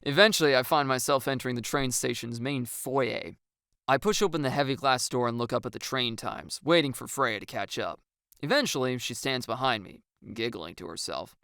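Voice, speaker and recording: A woman reading scripted first-person story narration in an expressive way. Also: extremely low pitch, normal speed, smooth, no echo, clean studio sound. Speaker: audiobook narrator.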